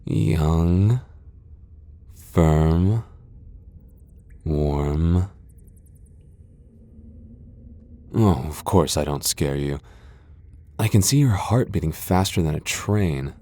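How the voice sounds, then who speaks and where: Man playing a very deep, sardonic vampire lord. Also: lively intonation, very slow, pauses often, mellow, exaggerated voice. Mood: menacing, teasing. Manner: sardonic, theatrical, taunting. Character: centuries-old male vampire lord, haughty, taunting, tsundere. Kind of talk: ASMR fantasy roleplay acting.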